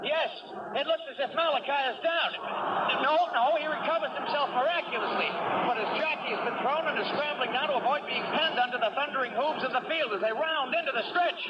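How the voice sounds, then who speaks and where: An actor playing an excited racetrack announcer, calling a horse race in a 1970s radio drama. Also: male voice, very high voice, brisk. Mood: excited, alarmed. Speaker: race commentator. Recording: muffled radio broadcast with loud racetrack noise.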